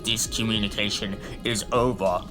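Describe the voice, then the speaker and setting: An actor playing a stately, defiant Lord President in a sci-fi audio drama, speaking in a grave, formal, measured way. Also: male voice, fairly low pitch, steady tone, over music, close to an everyday voice. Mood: haughty defiance.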